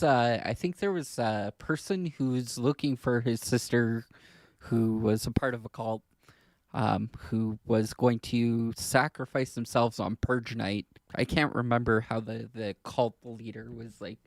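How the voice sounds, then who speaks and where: A man co-hosting a podcast in a casual discussion, explaining things in an informal, halting and rambling way. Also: mid-range voice, gravelly, no room sound. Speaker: podcast co-host. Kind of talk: casual discussion of films and politics.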